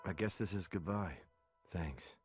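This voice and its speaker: A man playing a pensive, reserved, humble martial artist in an animated film dub. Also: low-pitched, a little slow, soft background music.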